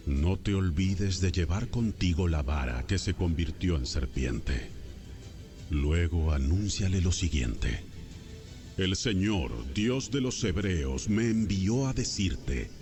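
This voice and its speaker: A man's voice: deep voice, lively intonation, takes it slowly, darkish tone. A man playing God as a solemn, commanding deity in a dramatized audio Bible. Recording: music behind the voice. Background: music.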